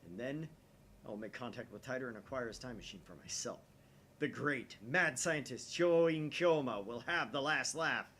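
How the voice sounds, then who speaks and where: A man's voice: medium pitch, moderate pace, a bit bright, natural voice. Man playing a pompous, grandiose mad scientist. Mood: haughty, defiant. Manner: pompous, theatrical. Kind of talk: visual novel read aloud. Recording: faint background noise.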